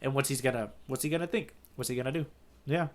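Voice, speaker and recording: A man's voice: mid-range voice, fast. A man co-hosting a podcast in casual chat, talking analytically and checking in with "right?". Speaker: podcast co-host who has read ahead, the guide.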